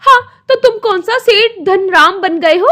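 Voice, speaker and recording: A woman voicing a greedy, sharp-tongued village wife in a moral-story cartoon, with dramatic delivery. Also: extremely high pitch, lively intonation, a little slow, voice pushed up, full character voice. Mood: taunting. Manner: taunting, dramatic.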